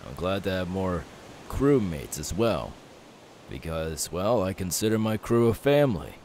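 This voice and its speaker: A young pirate captain, acted out by a man in an audio roleplay, confident and loyal to his crew. His voice is low and swings in a lively way as he reassures without hurry. A faint steady hiss sits behind him. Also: exaggerated voice. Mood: warm, sincere. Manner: easygoing, unhurried, reassuring.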